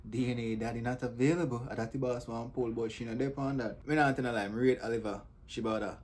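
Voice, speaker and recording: A man's voice: mid-range voice, fairly even intonation, fast talker, a little mellow. A man with a Jamaican accent hosting casual, joking reaction commentary. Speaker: host reacting to comedy clips.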